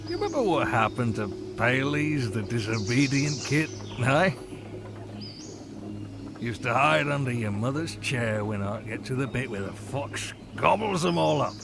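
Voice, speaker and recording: Creaky, slow old rabbit. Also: male voice, mid-range voice, very animated, background noise. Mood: tipsy teasing. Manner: slow, rambling, chuckling, folksy. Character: tipsy, nostalgic older male rabbit, fond and confused. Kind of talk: character acting in a fantasy visual novel. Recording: music behind the voice.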